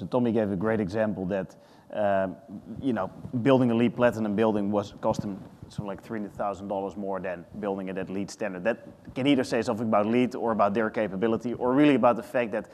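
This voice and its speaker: A man in his thirties with a Dutch accent, speaking as a panelist in an animated way, often restarting his sentences. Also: lowish voice, fast, a little hiss or hum. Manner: animated, thinking aloud with restarts. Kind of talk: panel discussion. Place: large conference hall. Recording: reverberant hall.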